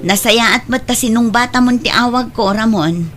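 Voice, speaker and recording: Resentful, interfering older sister for a family audio drama, voice a bit high female actor. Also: moderate pace, loud music behind the voice, lightly acted. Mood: insistent, nagging. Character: meddling, resentful elder sister, loyal to her mother. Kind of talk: Ilocano radio-style family drama acting.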